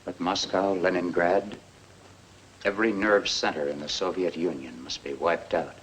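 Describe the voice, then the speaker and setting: A man playing a hawkish American government official in a 1950s film, grave and deliberate. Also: deep voice, steady tone, fairly bright tone. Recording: distant, noisy 1950s film soundtrack.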